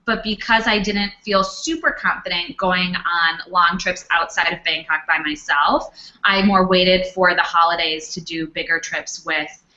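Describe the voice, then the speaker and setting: Young woman, panel Q&A, chatty, filler words, lowish voice, muffled recording. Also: fairly even intonation, unhurried, pushed and bright. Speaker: panelist, former English teacher. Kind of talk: Q&A panel talk. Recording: dull lo-fi recording.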